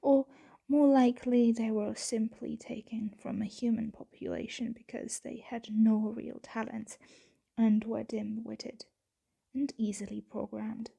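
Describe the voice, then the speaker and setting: A woman acts out a patient, commanding female vampire in an intimate ASMR roleplay. The voice is very mellow and dark, with a gravelly creak beneath soft half-whispers. Formal phrases come slowly and deliberately, with gentle authority and measured pauses. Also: fairly high pitch, little pitch movement, exaggerated voice. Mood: disdainful, reflective. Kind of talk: ASMR roleplay, scripted monologue to the listener.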